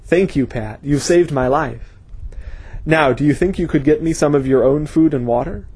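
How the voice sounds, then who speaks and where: Man voicing a grim male scholar, intense, brooding, husky, fairly high voice. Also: moderate pace, darkish tone, dry recording.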